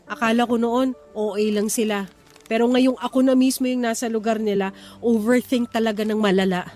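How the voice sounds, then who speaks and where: Female, Filipino accent, playing a worried new mom, fairly high voice, radio drama over faint music. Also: fairly even intonation, a little fast, dark tone, natural voice. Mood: stressed, pensive. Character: anxious young first-time mother, soft-hearted wife.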